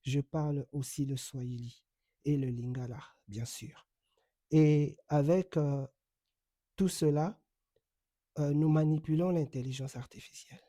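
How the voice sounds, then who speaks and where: A middle-aged man from Congo whose first language is Kikongo speaks in a very dark, mellow voice. He explains at a steady pace, filling pauses with hesitations. The sound is close and dry with no room around it. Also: a little high, no echo. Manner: measured, explanatory, with frequent hesitations. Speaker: online commentator and accredited journalist. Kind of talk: direct-to-camera commentary. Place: home in the United States.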